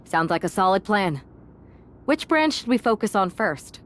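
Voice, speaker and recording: Actress as female pro wrestler, medium pitch, decisive, businesslike, scripted game dialogue. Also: sing-song, moderate pace, close to an everyday voice. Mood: agreeable. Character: decisive female wrestler planning team strategy.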